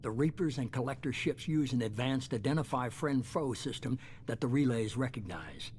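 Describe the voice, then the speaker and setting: An actor playing a shadowy, coolly self-justifying middle-aged leader in a video game, measured, authoritative and unapologetic. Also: male voice, medium pitch, lively intonation, a little mellow, a little hiss or hum, close to an everyday voice. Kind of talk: dramatic video game dialogue.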